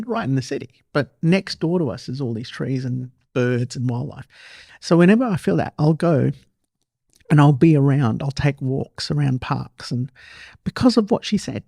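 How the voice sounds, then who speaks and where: An Australian man hosting a podcast, chatting casually and telling stories in an animated way, repeating himself for emphasis. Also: a little high, moderate pace, gravelly, no room sound, studio quality. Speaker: podcast host. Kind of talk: casual interview chat, storytelling.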